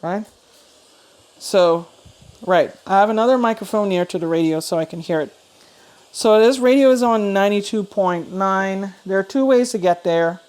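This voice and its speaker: A woman's voice: medium pitch, normal speed, darkish tone. A woman giving a casual, chatty product review, thinking aloud as she demonstrates. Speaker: host of a radio review channel.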